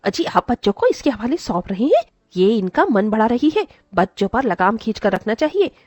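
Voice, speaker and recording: Shrill, bullying stepmother, acted by a woman. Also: big pitch swings, normal speed, darkish tone, no room sound, muffled recording. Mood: scheming. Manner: scolding, sneering, name-calling. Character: cruel, bullying stepmother, loud and threatening. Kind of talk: dramatised moral story voice acting.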